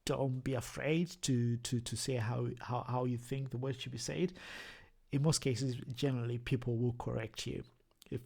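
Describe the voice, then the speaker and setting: Gravelly man with a thick Zimbabwean accent. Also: mid-range voice, little pitch movement, darkish tone. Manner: halting, many repeated words and restarts. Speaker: YouTuber. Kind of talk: casual screen-share tutorial.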